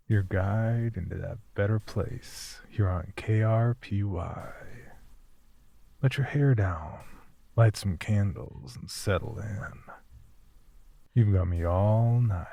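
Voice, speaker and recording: An actor playing a flirtatious late-night radio DJ, smooth-talking and seductive, with teasing, breathy half-whispers. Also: male voice, on the low side, dark tone, full character voice.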